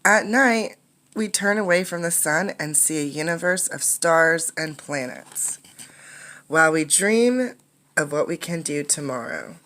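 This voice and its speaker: A woman reading a picture book aloud as a teacher, in an instructive way, pausing to explain and ask questions. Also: sits low, lively intonation, a bit bright.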